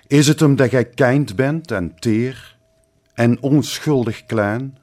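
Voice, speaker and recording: A man reciting a poetic meditation in a solemn, measured way with deliberate, repeated phrasing. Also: medium pitch, a little mellow. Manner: measured phrasing with rhetorical questions and repetition.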